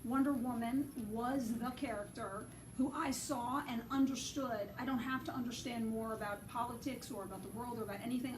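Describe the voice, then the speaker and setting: An earnest woman director speaks with effusive feeling, her voice a little high. She is picked up from a distance, and her words ring in an echoey space over background noise. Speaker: film director. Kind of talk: enthusiastic interview answers. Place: large public building.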